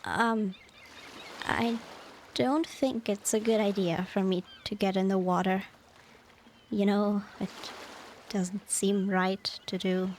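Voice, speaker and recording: A woman playing a tender, nostalgic former mermaid and devoted wife in a soft, unhurried, half-whispered ASMR roleplay. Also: medium pitch, slight background noise, close to an everyday voice. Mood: hesitant, wistful. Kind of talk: ASMR romantic roleplay, softly spoken.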